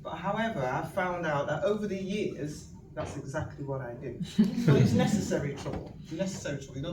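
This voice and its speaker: A woman's voice: sits low, noisy background. Chatty Mancunian woman speaking in an echoey hall. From Manchester, England. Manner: chatty and humorous, laughs often. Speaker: guest speaker, community activist. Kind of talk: storytelling speech at an event. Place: event venue with an audience. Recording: echoey room, distant mic, ambience.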